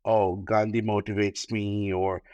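A man's voice: lowish voice, flat delivery, a little slow, a little mellow, smooth, no room sound, highs cut off. A man, a luxury wedding planner, answering interview questions in a thoughtful way, with fillers and restarts. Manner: thoughtful, with many fillers and repeated starts. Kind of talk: podcast interview.

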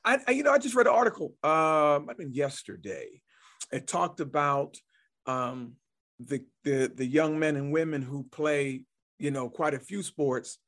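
Guy host w a higher voice, chatty, lots of yeah yeah. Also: normal speed, a bit bright. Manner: chatty, many restarts and affirmations. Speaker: interview host. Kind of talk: casual interview talk.

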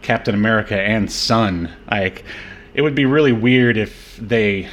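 A man hosting a podcast, chatting casually about films in a rambling, halting way. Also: on the low side, fairly bright tone, a little hiss or hum. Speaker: podcast host. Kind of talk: casual film discussion podcast.

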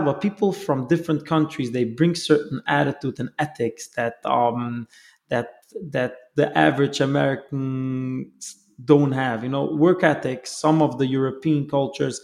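A man's voice: medium pitch, gravelly. A man hosting an interview, talking in an earnest, reflective way and often searching for his words. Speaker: host. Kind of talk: casual conversation.

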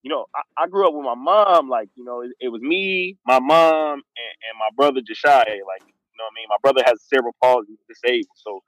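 A man's voice: mid-range voice, brisk, hardly any pauses, no room sound, rough recording. A man talking loosely in a casual podcast discussion, rambling and filling with "like" and "you know what I mean".

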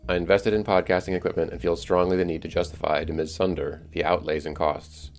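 Deep, gravelly middle-aged man narrating. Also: level tone, brisk. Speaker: podcast host. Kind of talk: scripted narration.

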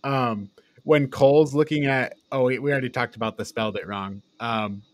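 A man's voice: mid-range voice, steady tone, moderate pace, no room sound. Guy hosting a podcast, casual review chat, very dry close sound. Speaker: podcast host.

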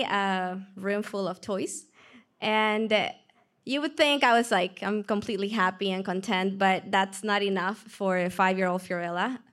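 Young peruvian woman sharing her testimony, lively and laughing as she tells it. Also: mid-range voice. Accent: Peruvian Spanish. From Peru. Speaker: testimony speaker. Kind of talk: personal testimony.

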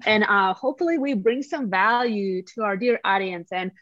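A woman's voice: mid-range voice, normal speed, a bit bright, no room sound, dull audio. A woman from Latvia with a Latvian accent, a guest chatting frankly and cheerfully over a video call, calling her listeners friends. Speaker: guest, real estate agent. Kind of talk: casual podcast chat over video call.

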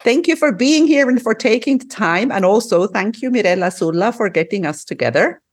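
A woman's voice: medium pitch, lively intonation, fairly quick, husky. A woman hosting a podcast interview, welcoming her guest and asking questions in an encouraging, affirming manner. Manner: warm, encouraging, with laughter and affirmations. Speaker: podcast host.

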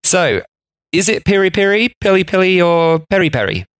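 A man's voice: high voice, very animated, normal speed, a bit bright, clean tone, no room sound. Chatty male podcast co-host. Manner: chatty, laughs at himself, tries accents. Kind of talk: casual food history chat.

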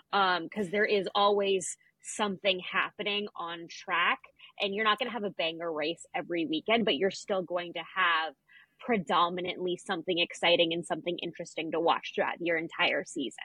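A woman's voice: lowish voice, moderate pace, bright, forceful tone, breathy edge, no echo, low-quality recording. A woman co-hosting a sports podcast, talking casually and making her opinions plain with emphasis. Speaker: podcast co-host.

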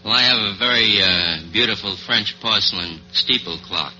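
A man answering in a measured, polite, salesmanlike way as a radio quiz contestant. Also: lowish voice, pushed and bright, faint music behind. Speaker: antique dealer, quiz contestant. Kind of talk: unscripted radio interview answers. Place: live radio show. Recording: dull old 1940s radio recording.

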